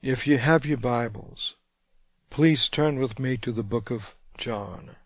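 A man preaching a radio sermon, congested, reading scripture slowly and deliberately. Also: medium pitch, no room sound, studio quality.

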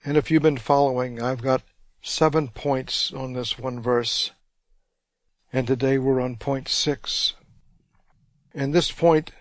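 A man preaching a radio sermon, congested, reading scripture slowly and deliberately. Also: medium pitch, no echo.

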